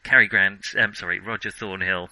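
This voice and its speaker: A man's voice: deep voice, fairly even intonation, normal speed, bright, forceful tone, no echo, poor audio. A man co-hosting a film podcast, chatting casually in a wry way and often correcting himself as he goes. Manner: hesitant, self-correcting, wry.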